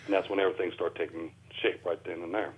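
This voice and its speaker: A man's voice: sits low, flat delivery, fast talker, slightly rough. A man with a folksy, ungrammatical way of talking, answering as a guest researcher in a radio interview over the telephone. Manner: folksy, ungrammatical plain speech. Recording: tinny phone line.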